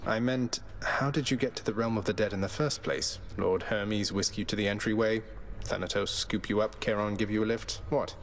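A man's voice: on the low side, steady tone, normal speed, hardly any pauses, close to an everyday voice. A man voicing a young, courteous underworld prince in a video game, polite and dry. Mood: curious. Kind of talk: video game character acting.

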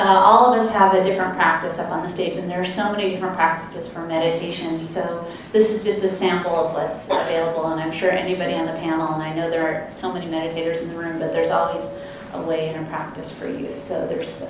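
A woman moderates a panel, her speech slow, gentle and low, with little rise and fall. She pauses often and fills gaps with soft hesitations. The sound is distant and very echoey, with a steady hiss underneath. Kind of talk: guided meditation and introduction. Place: conference room with a panel table.

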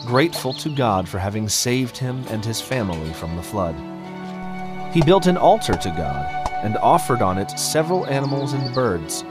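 Slow, expressive male storyteller over music. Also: medium pitch. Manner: measured, expressive storytelling with careful phrasing. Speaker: narrator. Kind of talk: scripted children's Bible story narration.

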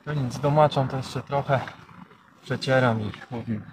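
Young man, outdoor fishing chat, relaxed tone, wind and nature noise behind. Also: medium pitch, moderate pace. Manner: relaxed, chatty, explains gear step by step. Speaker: angler vlogger. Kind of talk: casual fishing commentary. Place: outdoors by a canal bank.

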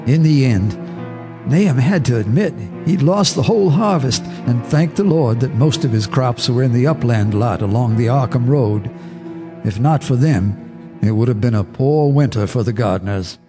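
A man's voice: mid-range voice, expressive, music in the background, close to an everyday voice. A man narrating as a grave old neighbor. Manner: grave, measured, plain. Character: old neighbor recalling dark events, grave and measured. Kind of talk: scripted storytelling narration.

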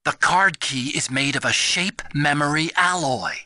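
An actor playing an eager young technical adviser in a video game, explaining things earnestly. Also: male voice, on the high side, measured pace, bright, forceful tone, dry recording. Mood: earnest, urgent. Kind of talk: expository video game voice acting.

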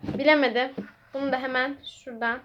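A woman's voice: high voice, level tone, a little fast, highs cut off. A woman hosting a playful guessing game, chatting casually with viewers and a child in a coaxing, teasing way. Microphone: phone held by the speaker. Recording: noisy home phone recording with clicks.

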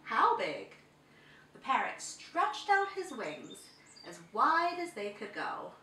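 A woman in her thirties reading a picture book to children in a dramatic, deliberate way. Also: sits high, wildly swooping pitch, bright, forceful tone, slight background noise. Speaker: children's storyteller. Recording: roomy, distant microphone.

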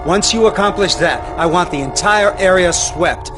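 An actor playing a stern middle-aged army general, giving clipped, authoritative orders in a game cutscene briefing. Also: male voice, sits high, normal speed, lightly acted. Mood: grim, commanding. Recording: music behind the voice.

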